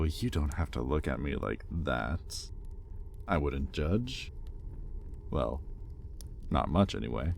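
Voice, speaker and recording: A man playing an exasperated, possessive demon master in an audio roleplay, sardonic yet grudgingly caring, speaking with pointed pauses. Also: deep voice, creaky, darkish tone, a little hiss or hum, full character voice. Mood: probing, scornful. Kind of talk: intimate audio roleplay, dramatic monologue.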